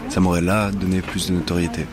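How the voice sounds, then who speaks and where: Young male actor, very low voice, halting and self-repeating, noisy background. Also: little pitch movement. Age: young adult. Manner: hesitant, repeats words, laughs nervously. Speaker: actor interviewee. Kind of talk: casual interview talk.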